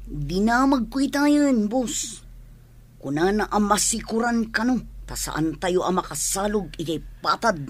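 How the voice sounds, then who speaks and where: A man's voice: high-pitched, lively intonation, a little slow. An actor playing a wary bandit henchman who speaks in a cautioning, deferential way in a Philippine radio drama. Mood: cautious. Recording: old AM radio drama recording.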